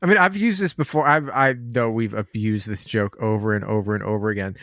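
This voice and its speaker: A man's voice: fairly low pitch, brisk, fairly bright tone, dry recording, studio quality. A man co-hosting a podcast, joking around with sarcastic asides. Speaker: podcast co-host. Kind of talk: casual podcast chat.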